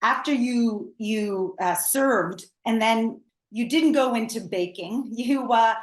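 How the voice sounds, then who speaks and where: A woman's voice: on the high side, slow pace. A middle-aged woman from Canada, a native Ukrainian speaker, hosting an interview in a chatty way. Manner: chatty, ends points with "right?". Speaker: podcast host. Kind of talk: conversational interview. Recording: roomy echo.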